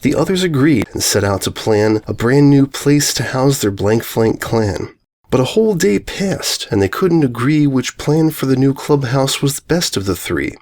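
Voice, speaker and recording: A man with a medium-pitched voice reads a rhyming children's tale. His cadence is measured and singsong, with very lively rises and falls. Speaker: story reader. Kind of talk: rhyming children's storybook narration.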